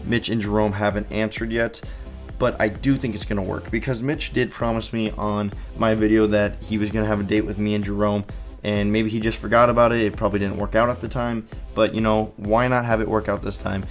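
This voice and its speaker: A man's voice: on the low side, little pitch movement, a little fast, faint music behind. An eighteen-year-old man hosting a casual Q and A for his gaming channel, rambling through answers with lots of fillers, on a headset mic. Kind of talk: casual Q and A video.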